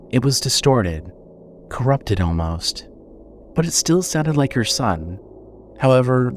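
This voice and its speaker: A man narrating a scripted horror story as storyteller, measured and suspenseful. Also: mid-range voice, slight background noise.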